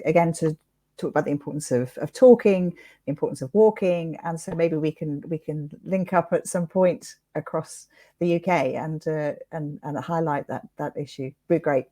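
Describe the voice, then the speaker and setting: A woman with a dark, mellow voice pitched a little low hosts an interview. She talks conversationally, with an earnest and encouraging manner toward guest and listeners. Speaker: interview host.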